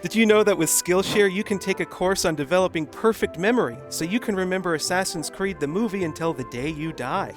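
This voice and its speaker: A man's voice: high-pitched, a little fast, hardly any pauses, music in the background. A man delivering scripted video-essay narration as the host of a film review.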